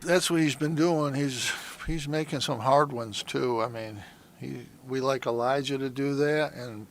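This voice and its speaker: A man giving a casual interview answer about his team in halting, trailing phrases. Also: mid-range voice, steady tone, slight background noise.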